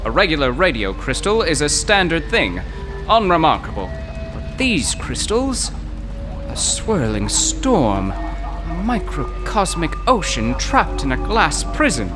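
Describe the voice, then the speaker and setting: An actor playing a fussy, wordy gentleman scholar who speaks in flowery, old-fashioned phrases and gets excited easily, in old-time radio drama style. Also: a little high, unhurried. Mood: excitable, wordy. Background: music.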